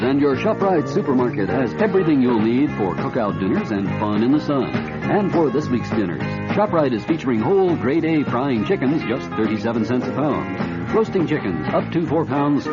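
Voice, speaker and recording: Loud music backs a man announcing a retail ad on a dull old radio recording. His middle-pitched voice moves up and down in a lively sales read. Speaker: supermarket commercial announcer. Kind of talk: scripted retail radio advertisement.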